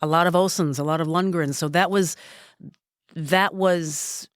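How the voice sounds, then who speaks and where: Low smoky-voiced woman in her sixties. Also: fairly even intonation, unhurried, no room sound, studio quality. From Minnesota. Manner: soft-spoken, reflective storyteller. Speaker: podcast guest, journalist. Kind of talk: casual conversation. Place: recording studio.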